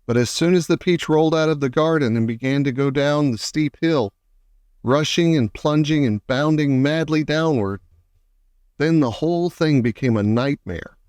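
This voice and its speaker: A man's voice: a little high, moderate pace, a little mellow, clean tone, dry recording. Man reading a children's story, close dry sound. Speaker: audiobook reader. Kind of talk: expressive children's storybook narration.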